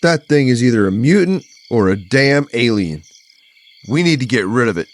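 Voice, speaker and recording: Man as an excitable deputy with swooping pitch. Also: normal speed, close to an everyday voice. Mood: alarmed. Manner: excitable, blurting. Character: jumpy, excitable male sheriff's deputy, skeptical and easily alarmed. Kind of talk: scripted audio drama.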